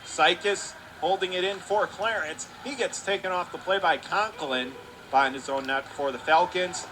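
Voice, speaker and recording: A man calling live radio play-by-play of a hockey game, talking rapidly and rising to excited shouts on close plays. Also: sits high, background noise.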